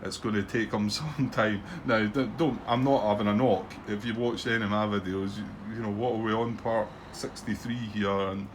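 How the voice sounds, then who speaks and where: A middle-aged Scottish man with a somewhat low voice chats through his board wargame thoughts. He rambles and hesitates, full of ums and false starts, with a soft background hiss. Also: fairly even intonation, moderate pace, hardly any pauses. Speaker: board wargame channel host. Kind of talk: casual commentary on a solo board game playthrough.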